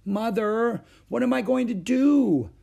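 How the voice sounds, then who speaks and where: An exasperated schoolgirl big sister in a storybook, as a man puts her on, pitched well up and swinging widely. She complains with emphatic indignation. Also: expressive, brisk, mellow. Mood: dismayed.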